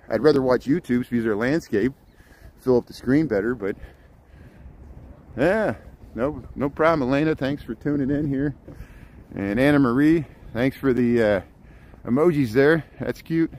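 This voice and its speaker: A middle-aged man from Indiana with a Midwestern American accent, chatting casually and haltingly as he livestreams a walk outdoors. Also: mid-range voice, a little mellow. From Indiana, United States. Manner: halting, chatty, jumping between subjects. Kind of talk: casual rambling walk-along livestream chat. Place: outdoors, walking in a city park. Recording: noisy outdoor ambience with traffic.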